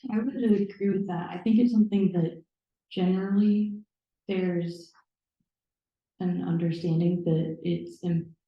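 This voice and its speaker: A woman's voice: fairly low pitch, steady tone, unhurried. A young woman from Nebraska speaking thoughtfully on a panel, with many fillers and a sarcastic edge. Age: young adult. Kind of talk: panel discussion. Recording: echoey room, dull sound.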